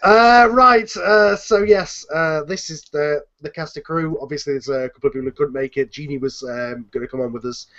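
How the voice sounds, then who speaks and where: Higher-pitched man hosting over dull call audio. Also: normal speed, hardly any pauses, fairly bright tone. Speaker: host of an online cast chat. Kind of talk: casual panel hosting. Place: online group video call.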